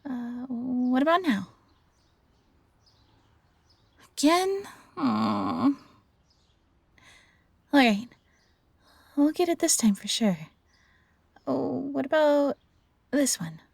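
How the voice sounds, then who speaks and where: Woman acting a gentle, breathy young-hearted fox-hybrid girl. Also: high-pitched, expressive, slow, pauses often, dry recording, studio quality, heavily acted. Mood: playful, excited. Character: gentle, eager fox-hybrid former servant woman learning magic. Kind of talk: intimate roleplay audio drama.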